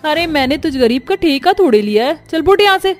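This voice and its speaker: A woman's voice: sits high, animated, a little fast, soft background music, voice pushed up. A woman voicing a harsh, bossy rich female employer in a story, full of scorn. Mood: scornful, angry.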